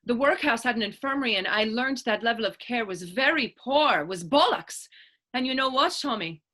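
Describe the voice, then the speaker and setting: A woman's voice: a little high, animated, normal speed, bright, forceful tone. A woman playing a tough, proud teenage Irish orphan turned actress in a readers theatre drama, telling her story in lilting old-fashioned idiom with an Irish accent. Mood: proud, earnest. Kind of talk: stage readers theatre acting in dialect.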